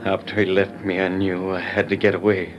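An actor playing an earnest army major undercover as a gunfighter, telling his story gravely in an old-time radio drama. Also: sits low, flat delivery, brisk, lo-fi sound, over loud music, lightly acted. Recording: noisy vintage radio recording.

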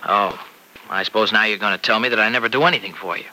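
A man's voice: lowish voice, fast, sharp tone, rough recording. An actor playing an irritable young husband in a 1950s radio soap, evasive and short with his wife.

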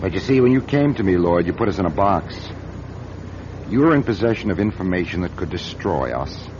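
Low-voiced, ruthless American company president. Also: male voice, big pitch swings, brisk. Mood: pressing, exasperated. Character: ruthless cornered corporation president, self-justifying and menacing. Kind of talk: radio drama acting. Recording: dull, noisy old radio drama recording.

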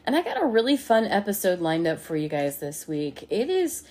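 A woman's voice: medium pitch, very animated, normal speed, darkish tone. A woman hosting a podcast, giving an upbeat, friendly welcome and introducing her guest. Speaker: podcast host. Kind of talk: scripted show intro and interview questions.

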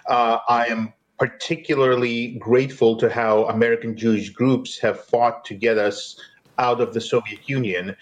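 A man's voice: lowish voice, poor audio. A male journalist from eastern Ukraine answering interview questions bluntly, with frequent "uh" fillers. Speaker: journalist guest.

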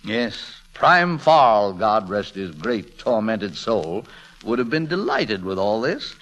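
An actor playing a shy, flustered middle-aged English gentleman posing as a valet, with a hesitant, stammering classic radio drama delivery. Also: fairly low pitch, big pitch swings, takes it slowly, full character voice. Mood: hesitant, polite. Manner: hesitant, stammering, indignant when challenged.

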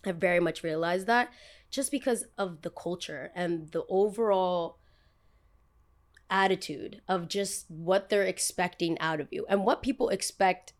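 A woman's voice: on the low side, measured pace. A young woman with a New Jersey accent, chatting casually as a podcast host in a blunt, emphatic, slangy way. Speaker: podcast host, content creator.

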